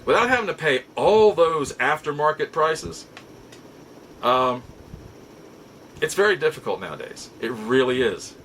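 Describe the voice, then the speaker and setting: A man's voice: on the high side, very animated, unhurried, pauses often, a bit bright, slight background noise, poor audio. A middle-aged man hosting a hobby show, telling stories casually to viewers in an exasperated, emphatic way and repeating phrases for stress. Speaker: host of a nostalgia and toy collecting show. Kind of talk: casual rambling storytelling to viewers.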